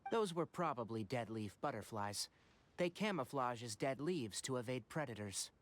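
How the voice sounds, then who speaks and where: A man voicing a young, knowledgeable forest ranger in a video game, practical and gently wry, explaining things in an articulate, matter-of-fact way. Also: mid-range voice, animated, normal speed, a little hiss or hum, close to an everyday voice. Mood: instructive. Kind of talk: animated video game voice acting.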